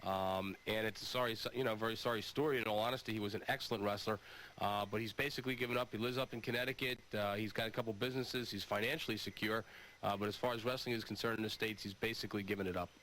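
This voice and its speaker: A man's voice: on the low side, steady tone, fast, a bit bright. A man hosting a sports call-in radio show, discussing and taking calls. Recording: noisy old radio broadcast recording.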